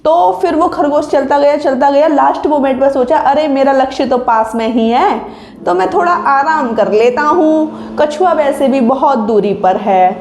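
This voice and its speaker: A woman's voice: high-pitched, normal speed, darkish tone. A young woman giving informal exam advice to viewers, explaining like a coach and often checking that they follow. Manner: didactic, frequent checking tag questions. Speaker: exam-preparation coach and video host. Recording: echoey untreated room.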